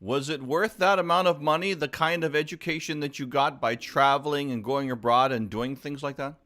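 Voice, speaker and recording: A man's voice: on the high side, steady tone, normal speed, a bit bright. A man hosting a live talk show, upbeat and exclamatory, drawing out his words as he introduces and questions a guest.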